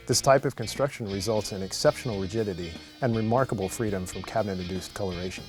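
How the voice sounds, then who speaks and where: A man's voice: on the low side, fast talker. Polished male promo narrator over guitar music. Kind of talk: polished scripted product narration.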